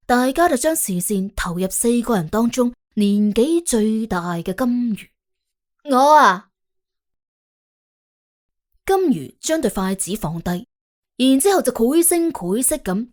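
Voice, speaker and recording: A woman narrating an audiobook in colloquial Cantonese, telling the story in an expressive, wry way. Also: on the high side. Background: faint background music.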